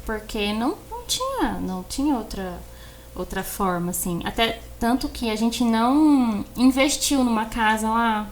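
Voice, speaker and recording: A woman with a Brazilian accent, chatting casually as a podcast co-host and telling personal stories in an animated way, repeating words for emphasis. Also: a little high, moderate pace, a little hiss or hum. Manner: animated storyteller who acts out exchanges and repeats words for emphasis. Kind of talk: casual conversation, personal storytelling.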